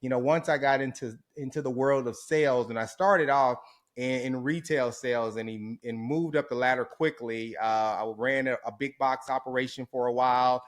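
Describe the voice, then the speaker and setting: A man's voice: medium pitch, steady tone, moderate pace. A man from Houston, Texas, a guest on a podcast, talking about his life in an upbeat, reflective way. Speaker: interview guest. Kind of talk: casual interview chat.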